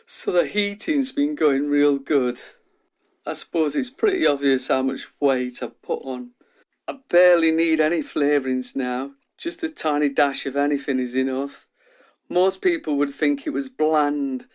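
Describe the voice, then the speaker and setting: A man playing an anxious, overwhelmed test subject in a radio drama, halting and intense. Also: on the high side, a little mellow, close to an everyday voice. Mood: uneasy.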